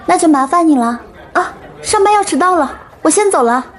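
A woman's voice: extremely high pitch, lively intonation, brisk. A woman acting an overworked young waitress and caring older sister in a radio drama. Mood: polite, hurried.

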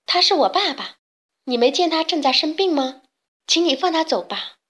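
A brave, tender young daughter from a storybook, voiced by a woman narrator. Her voice is high and earnest. She speaks with a pleading, devoted manner. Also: expressive, moderate pace, fairly bright tone. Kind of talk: children's storybook reading.

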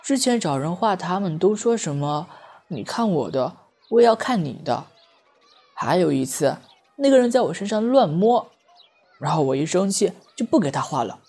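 A man's voice: sits high, very animated, normal speed, mellow, breathy edge, heavily acted. A man playing a guileless, blunt, socially oblivious teenage boy artist in an amateur audio drama. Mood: innocent, complaining.

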